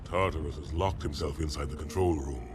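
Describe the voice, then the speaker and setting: Extremely deep-voiced alien warrior. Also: male voice, brisk. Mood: stern, resolute. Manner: terse, commanding. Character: stern, battle-hardened male alien warrior commander. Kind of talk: dramatic video game acting. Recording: music behind the voice.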